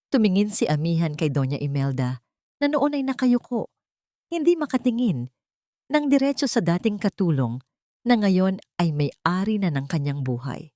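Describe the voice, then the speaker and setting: Female audiobook narrator, slightly low register, dramatic scripted storytelling, close dry recording. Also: dark tone, no room sound.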